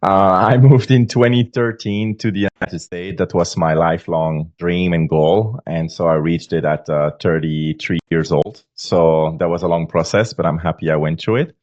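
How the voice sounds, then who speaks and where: A deep, low-voiced man in his forties speaks with a Belgian accent. He talks earnestly and stops often on fillers. The sound is dry and a little dull, like a remote lo-fi line. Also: fairly even intonation, a little mellow, no echo. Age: 40s. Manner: earnest, halting with many fillers. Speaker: guest author. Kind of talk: podcast interview.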